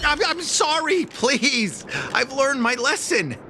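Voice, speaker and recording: Nervous, stammering thief, slow-paced. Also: male voice, very high-pitched, very animated, background noise, exaggerated voice. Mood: pleading, panicked. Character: shifty, cowardly petty thief, blustering then pleading. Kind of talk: dramatic game voice acting.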